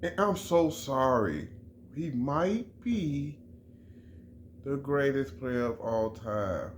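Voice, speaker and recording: Emphatic middle-aged man, slow and repetitive. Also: medium pitch, expressive, a little hiss or hum. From Chicago, USA. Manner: emphatic and repetitive, restarting phrases. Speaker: vlogger. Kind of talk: casual sports opinion monologue.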